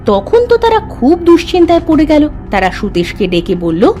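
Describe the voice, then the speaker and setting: A woman narrating a cartoon fairy tale in a lively storytelling manner. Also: sits high, moderate pace, faint music behind.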